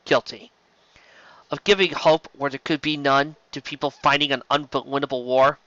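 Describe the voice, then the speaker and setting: A man reading a dramatic story aloud in an expressive amateur manner, sometimes stumbling over words. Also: mid-range voice, moderate pace, no room sound, lo-fi sound. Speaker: amateur audiobook reader. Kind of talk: dramatic reading of fan fiction narration with reactions.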